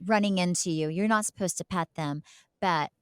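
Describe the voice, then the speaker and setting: Female podcast co-host, lowish register, dry sound. Casual, chatty, emphatic delivery. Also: moderate pace, clear, resonant tone, no room sound. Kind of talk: casual chat.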